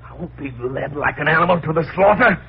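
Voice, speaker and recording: Intense, high-pitched resentful farmhand, old radio thriller. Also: male voice, quick pace, gravelly, husky, exaggerated voice. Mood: hysterical, accusing. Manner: melodramatic, intense. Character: resentful farmhand, menacing then panicked and pleading. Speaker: actor in a radio play. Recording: muffled, noisy vintage radio recording.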